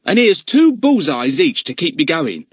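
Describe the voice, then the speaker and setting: A timid young boy who yelps out his words, high and jumpy, as a man puts him on. The voice swings widely in pitch in a dry, close reading. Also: sing-song, normal speed, breathy edge, no room sound. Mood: bossy.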